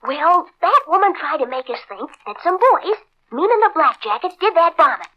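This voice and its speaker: High-pitched plucky girl detective. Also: big pitch swings, moderate pace, muffled recording. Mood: eager, determined. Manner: earnest, eager, reasoning aloud. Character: plucky, sharp-witted young girl detective. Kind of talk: 1940s radio serial acting. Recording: noisy old 1940s radio recording.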